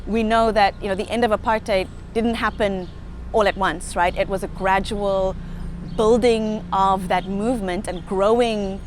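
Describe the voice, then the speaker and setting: A woman's voice: mid-range voice, steady tone, moderate pace, slight background noise. A woman with a South African accent, speaking earnestly and reflectively in a documentary interview. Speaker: sustainability professional interviewee. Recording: music playing under the voice.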